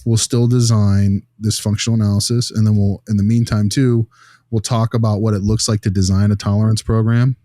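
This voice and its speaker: A man's voice: fairly low pitch, flat delivery, fairly quick, dark tone, no echo, clean studio sound, natural voice. A man playing an earnest behavior consultant in an improvised role-play, reasoning aloud in a casual, rambling way. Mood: earnest, measured. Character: earnest male behavior analyst consulting on a child's case.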